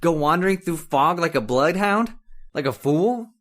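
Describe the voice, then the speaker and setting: A man's voice: on the high side, expressive, normal speed, a bit bright. A man playing an irritable, scornful older husband haunted by memory, impatient and muttering, in old-time radio horror style. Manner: impatient, snapping, muttering broodingly.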